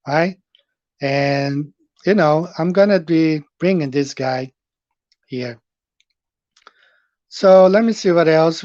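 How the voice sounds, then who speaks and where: A man's voice: on the high side, a little mellow, dry recording, dull audio. A middle-aged man from Brazil with a Brazilian Portuguese accent, hosting a live stream and chatting with viewers in a rambling way with many fillers. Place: home, in Japan.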